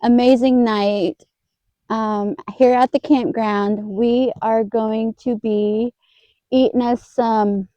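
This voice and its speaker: A woman hosting a casual food vlog outdoors at a campground at night, talking slowly and chattily with fillers. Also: fairly high pitch, steady tone, dark tone, no room sound, lo-fi sound. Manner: slow, drawn-out, chatty with fillers. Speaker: vlog host.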